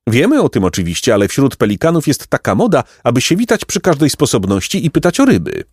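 A man voicing a vain, pompous, aristocratic pelican with a shrill squawking voice for a storybook. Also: huge pitch swings, quick pace, a little mellow, husky, no echo, studio quality. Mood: excited. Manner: pompous, haughty, florid exclamations. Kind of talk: storybook character voice.

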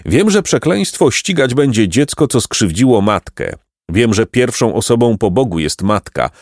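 A man's voice: mid-range voice, big pitch swings, normal speed, no echo, studio quality, pitched above the performer's own voice. Man voicing an ancient, stern storybook raven. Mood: grave warning. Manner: solemn, condemning, oracular. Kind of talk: storybook character reading.

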